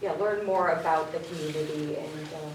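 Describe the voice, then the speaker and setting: A woman's voice: low voice, fairly even intonation, normal speed, slight background noise. A young woman running for local office, answering casually with lots of fillers. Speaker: candidate. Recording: echoey hall, distant mic.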